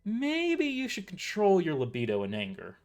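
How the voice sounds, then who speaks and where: A man's voice: high-pitched, huge pitch swings, moderate pace, faint music behind, pitched above the performer's own voice, exaggerated voice. A man voicing a sarcastic, tough young waitress in a raised, mocking voice.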